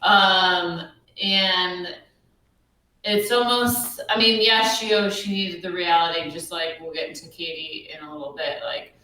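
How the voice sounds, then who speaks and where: A woman with a deep voice co-hosting a podcast, musing in a casual, hesitant way with many ums. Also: fairly even intonation, unhurried, sharp tone, very echoey, recorded from a distance, low-quality recording. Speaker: podcast co-host. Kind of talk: casual recap chat.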